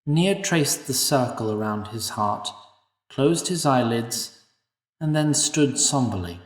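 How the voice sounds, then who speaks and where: Slow, expressive male narrator in an echoey room. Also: medium pitch. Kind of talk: scripted audio-drama narration. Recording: echoey room, distant mic.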